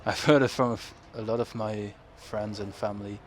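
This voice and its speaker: A young man with a Dutch accent talks through his farming game in a relaxed, meandering way, often correcting himself mid-sentence. His voice sits on the low side. Engine rumble and game ambience run clearly beneath him. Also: a little fast. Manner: rambling, self-correcting, chuckles often. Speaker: gaming YouTuber. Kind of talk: casual gameplay commentary. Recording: game engine noise behind voice. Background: farm machinery and ambient game sounds.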